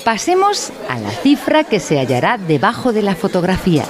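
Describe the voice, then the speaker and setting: Pompous, animated lady lecturer. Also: mid-range voice, normal speed, crowd noise. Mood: solemn, didactic. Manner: formal, didactic, pompous wording. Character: pedantic female instructor of a mock-educational course. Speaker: narrator in an audio drama. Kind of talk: mock-educational radio play narration.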